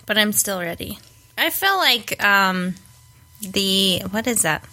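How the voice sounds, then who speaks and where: A woman's voice: mid-range voice, takes it slowly. A woman guest on a podcast, chatting casually and acting out the voices in her stories. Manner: animated storyteller, acts out quotes. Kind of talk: casual chat.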